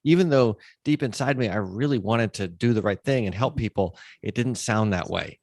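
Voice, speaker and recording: A man's voice: fairly low pitch, normal speed, a little mellow, no echo, studio quality. A man hosting a conversational podcast interview, explaining his thoughts in a reflective way. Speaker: podcast host.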